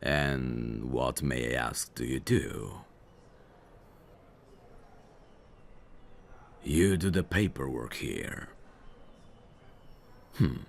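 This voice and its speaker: A man playing a suave, teasing mafia boss in an ASMR audio roleplay, flirtatious with a faint edge of menace and an unhurried manner. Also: extremely low pitch, lively intonation, vocal fry, slight background noise, exaggerated voice. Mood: cool, condescending.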